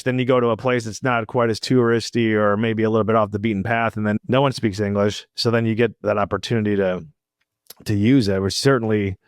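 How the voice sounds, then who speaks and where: A man's voice: lowish voice, no room sound, clean studio sound. A man hosting a podcast, chatting casually and informally with plenty of "like" and "you know". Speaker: podcast host. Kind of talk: casual chat.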